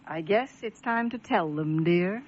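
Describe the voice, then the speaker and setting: A woman's voice: fairly low pitch, animated, measured pace, a little mellow, slight background noise. A woman playing a gracious, polite ghost hostess in a radio drama. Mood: gracious, ominous. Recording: muffled lo-fi radio broadcast with faint music.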